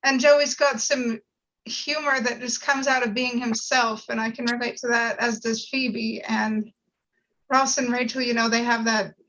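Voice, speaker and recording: Woman, high bright voice, earnest and explanatory, casual discussion, dull lo-fi audio. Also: little pitch movement, a little slow. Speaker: panel participant.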